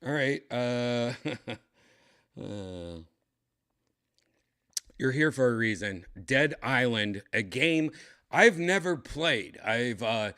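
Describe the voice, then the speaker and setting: A tipsy man with a raspy, dry-throated voice, chatting casually as a comedian hosting his livestream, rambling and clearing his throat often. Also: medium pitch, fairly bright tone. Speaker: comedian streaming host.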